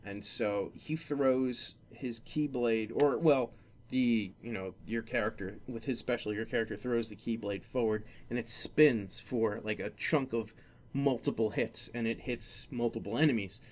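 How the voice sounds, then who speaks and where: A man's voice: medium pitch, a little slow. A man hosting a casual gaming podcast, explaining things in a rambling, hesitant way and often correcting himself. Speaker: podcast host.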